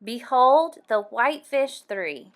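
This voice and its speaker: A woman reading a picture book aloud for young children, animated and deliberate, acting out the sound effects. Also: a little high. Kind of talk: expressive read-aloud storytelling for young children.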